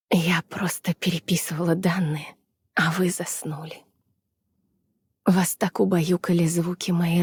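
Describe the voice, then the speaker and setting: An actress voicing a weary, anxious young woman scientist from the frozen north in a game dub, soft and hesitant. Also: lowish voice, dry recording, clean studio sound. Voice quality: soft, husky, breathy. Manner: hesitant, subdued, apologetic. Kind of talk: Russian dub of game character voice lines.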